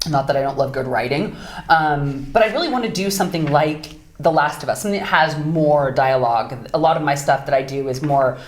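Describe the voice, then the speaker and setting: A woman voice actress chatting casually in an interview, with a low, deeper voice and an animated, upbeat manner. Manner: animated, bright and upbeat, quick asides. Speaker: voice actress guest. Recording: roomy echo.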